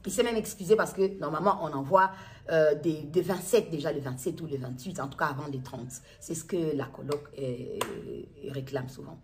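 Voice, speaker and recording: A middle-aged woman with a Kinshasa Congolese accent and a somewhat low-pitched voice. She tells her account in her own words, as a mother addressing viewers. Her delivery is halting, with phrases repeated and restated as she goes. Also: slight background noise. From Democratic Republic of the Congo. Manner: halting, repetitive, circles back to restate phrases. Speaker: mother making a public appeal video. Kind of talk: personal testimony to camera, unscripted recounting.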